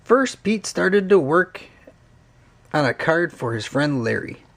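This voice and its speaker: A man narrating a children's read-along story in an expressive, swooping storytelling manner. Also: on the high side, slow, vocal fry.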